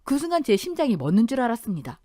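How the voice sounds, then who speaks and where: A woman's voice: on the high side, sing-song, very fast, warm tone, dry recording, clean studio sound. A woman narrating a dramatic first-person family story in an audio-drama style.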